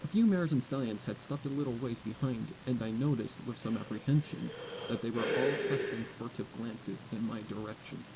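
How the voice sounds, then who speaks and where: A man's voice: mid-range voice, a little fast. Man narrating a story over noisy audio.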